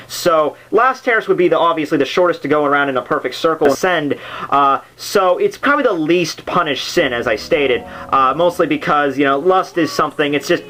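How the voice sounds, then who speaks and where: A man's voice: a little high, sharp tone, rough recording. A man giving a casual, unscripted explanation as host, rambling and dropping jokey asides. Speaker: host of a literature summary series. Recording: music playing under the voice. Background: background soundtrack music.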